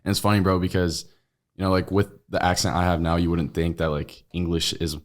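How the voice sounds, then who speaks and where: A young man, born in Brazil, speaking with an American accent. His voice sits deep, and he talks in a relaxed, slangy ramble with plenty of fillers. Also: a little fast. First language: Portuguese. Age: young adult. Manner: laid-back slangy talk with many fillers. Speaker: podcast guest. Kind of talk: casual chat.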